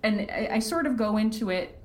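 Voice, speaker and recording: A woman's voice: mid-range voice, lively intonation, a little fast. A woman talking candidly as an interview guest, earnest and emphatic in how she explains things. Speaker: podcast creator, interview guest. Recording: roomy, echoey sound.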